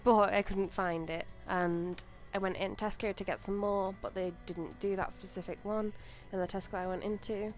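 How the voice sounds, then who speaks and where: A woman's voice: mid-range voice, steady tone, moderate pace, hardly any pauses. A young woman with a slightly stuffy voice from a cold, rambling casually through a makeup tutorial with plenty of ums and likes. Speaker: makeup vlogger. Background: faint music.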